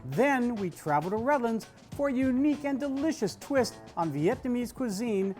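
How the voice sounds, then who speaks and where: A man's voice: very high voice, expressive, moderate pace, darkish tone, faint music behind. A man hosting a food show as a food critic, upbeat and playfully bantering with his co-host. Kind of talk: show host intro and casual chat.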